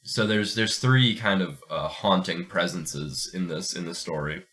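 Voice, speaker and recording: A man's voice: deep voice, unhurried, a bit bright. A man co-hosting a podcast with casual, jokey banter, rambling and often tripping back over his words. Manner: rambling, jokey, stammering repetitions. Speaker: podcast co-host.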